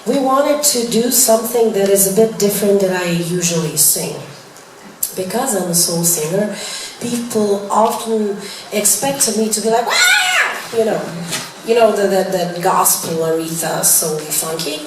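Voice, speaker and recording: A chatty young woman fields press questions, laughing often and filling pauses with "uh". Her voice sits at a middle pitch and comes from far off the mic. The room is very echoey and noisy. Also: big pitch swings. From Serbia. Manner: chatty, laughing, apologetic, with many fillers. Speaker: singer answering press. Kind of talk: press Q&A chat.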